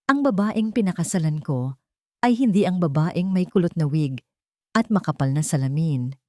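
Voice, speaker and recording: A woman's voice: fairly low pitch, expressive, moderate pace, mellow, dry recording, studio quality, lightly acted. Woman voicing earnest Filipino man in love, tender. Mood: proud, earnest. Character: devoted, steadfast young businessman in love.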